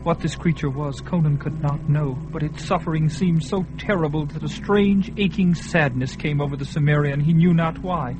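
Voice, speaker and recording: Brief: male narrator for fantasy radio drama, dramatic, swelling then hushed, music bed. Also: on the high side, normal speed, hardly any pauses, low-quality recording. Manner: dramatic, swelling at peaks, hushed in quiet parts.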